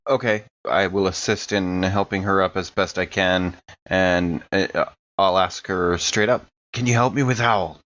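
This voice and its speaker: A man with a low voice talks casually at a game table as one of the players. He describes his moves and asks questions, on a slightly dull, muffled recording. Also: fairly even intonation, a little slow, a bit bright.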